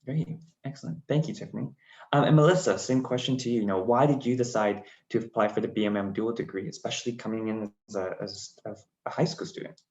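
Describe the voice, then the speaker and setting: A man's voice: on the low side, quick pace, darkish tone. Man moderating, muffled echoey sound. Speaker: host and moderator of a student webinar. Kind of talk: interviewing student panellists. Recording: muffled, echoey room audio.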